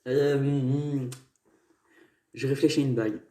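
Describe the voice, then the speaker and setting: A man's voice: mid-range voice, steady tone, unhurried, warm tone. A man co-hosting a casual chat, tossing in teasing jokes and interjections. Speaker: co-host.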